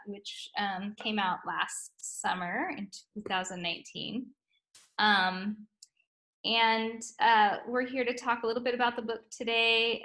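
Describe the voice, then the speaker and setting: A woman's voice: on the high side, pushed and bright. A woman interviewing an author, asking questions in a friendly, engaged way with many fillers. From US-Mexico border. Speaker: publisher and interviewer.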